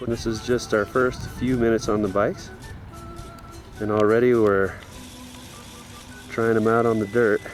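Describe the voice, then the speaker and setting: A man's voice: fairly low pitch, flat delivery, a little slow, pauses often, over music, low-quality recording. A man leading a motorcycle tour, narrating his travel video in an easygoing, joking way, recorded outdoors. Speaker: tour leader filming the trip.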